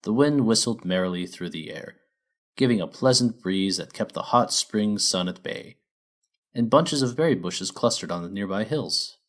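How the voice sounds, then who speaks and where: A man narrates a scripted children's story. His voice sits on the low side and swings widely in pitch, giving the telling an expressive storybook feel. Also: normal speed.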